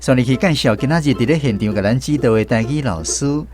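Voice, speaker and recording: A man's voice: mid-range voice, quick pace, darkish tone. A man with a Taiwanese accent hosting a language lesson segment on the radio as quizmaster. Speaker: radio show host and quizmaster.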